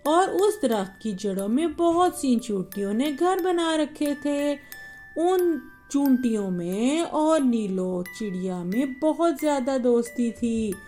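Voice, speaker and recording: Slow, high-voiced woman telling children's stories. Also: a little mellow. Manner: simple, addressing children directly. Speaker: children's storyteller. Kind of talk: bedtime story narration for children.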